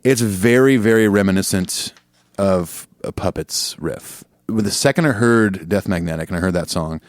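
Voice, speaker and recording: A man in his late thirties co-hosting a podcast in casual chat, laid-back and quick to laugh, often trailing off mid-thought. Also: sits low, dry recording. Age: late 30s. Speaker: podcast co-host.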